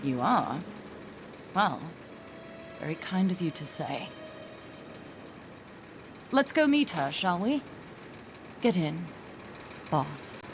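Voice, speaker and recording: An actress playing a composed, earnest scientist in a video game, speaking in a measured way. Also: female voice, fairly low pitch, big pitch swings, noisy background. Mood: sympathetic. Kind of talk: dramatic video game acting.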